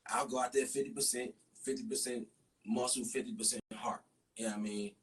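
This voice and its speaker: A man's voice: mid-range voice, steady tone, a little fast, fairly bright tone. An American man chatting casually and bluntly as a guest fighter, with slangy, profane speech. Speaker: MMA fighter, podcast guest. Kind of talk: casual chat. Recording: distant mic, noisy room.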